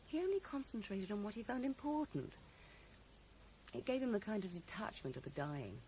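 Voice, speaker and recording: Actress as a mellow, defensive young woman. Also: medium pitch, very animated, moderate pace, lightly acted. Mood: wistful, loyal. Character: loyal, defensive young woman in love, recovering in hospital. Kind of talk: 1980s radio drama acting. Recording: slightly noisy old radio broadcast recording.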